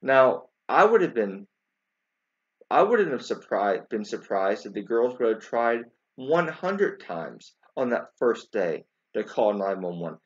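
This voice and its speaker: A man in his forties giving informal commentary to camera, haltingly, repeating words and posing emphatic questions. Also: lowish voice, very animated. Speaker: video commentator. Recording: dull lo-fi webcam sound.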